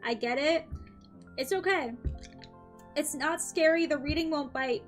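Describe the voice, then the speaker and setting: A woman's voice: sits high, unhurried, soft background music. A young woman streaming, chatting casually and upbeat with her viewers between tarot readings, her throat a little dry. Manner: chatty and upbeat, frequent "um" and light laughs. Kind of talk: casual live-stream chat.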